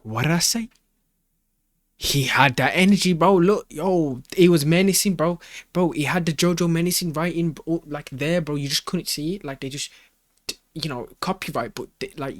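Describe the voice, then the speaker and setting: A man's voice: a little high, moderate pace, no room sound. Young man, reaction host, casual slang, repetitive exclamations, very dry room sound.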